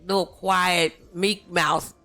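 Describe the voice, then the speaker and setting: A middle-aged woman, hosting a casual interview in a halting, teasing manner. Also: fairly low pitch, steady tone, sharp tone, soft background music. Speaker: talk show host, relative of the guest. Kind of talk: casual interview chat, storytelling.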